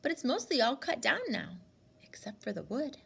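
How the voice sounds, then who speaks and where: Woman voicing a candid young girl, high-pitched. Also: very animated, moderate pace, gravelly, a bit bright, slightly rough, exaggerated voice. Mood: explaining. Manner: plain, eager to explain. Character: young girl, candid, earnest, slightly guarded.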